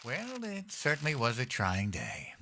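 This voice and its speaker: An actor playing a childish, guileless older American president and doting husband in an audio sitcom, earnest and excitable. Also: male voice, mid-range voice, unhurried, slight background noise, full character voice. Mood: earnest, tired.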